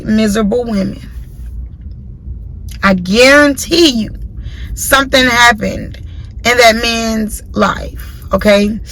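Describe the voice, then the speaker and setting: A woman's voice: a little high, a bit bright, slight background noise, low-quality recording. A woman in her late twenties hosting her own podcast, giving a blunt, profane opinion monologue and repeating her points for emphasis. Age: late 20s. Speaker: podcast host. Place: in a car.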